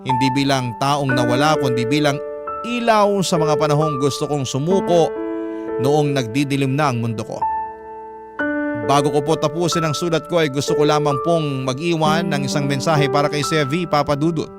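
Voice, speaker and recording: A man narrating a letter as a radio drama host, sentimental and dramatic, with drawn-out phrasing. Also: a little high. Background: prominent music.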